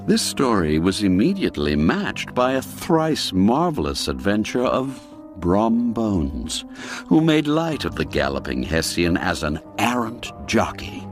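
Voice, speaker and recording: A man narrating a classic ghost tale in a theatrical, expressive storytelling manner, dwelling on the darker words. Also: on the low side, unhurried, faint music behind.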